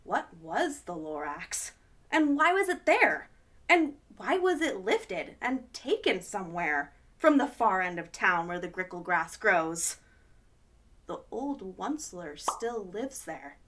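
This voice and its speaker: A woman's voice: mid-range voice, very animated, slow pace, a bit bright. A woman hosting a children's storytime, reading a picture book aloud expressively with gasps of wonder.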